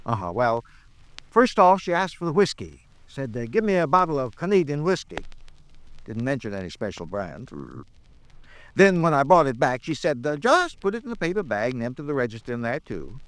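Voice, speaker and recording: An actor playing a flustered, talkative older shopkeeper in a 1950s radio drama, hesitant, rambling and repeating himself. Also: male voice, mid-range voice, big pitch swings, moderate pace, a bit bright, clean tone, rough recording. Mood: earnest recall.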